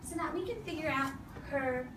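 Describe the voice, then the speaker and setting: High-voiced woman teacher in an echoey classroom. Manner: firm, directive, with short commands; deliberate repetition of key phrases. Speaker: elementary school teacher. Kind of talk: classroom read-aloud and instruction to young children. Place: classroom full of seated children. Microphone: distant camera across the room. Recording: echoey, noisy classroom recorded from far off.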